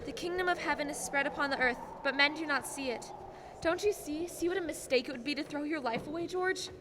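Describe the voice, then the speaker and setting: A young woman playing an earnest, gently moralizing guardian angel in a radio play, with noise on the recording. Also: sits high, brisk, close to an everyday voice. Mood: solemn. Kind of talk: filmed radio play acting, high school production. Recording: clearly noisy stage recording.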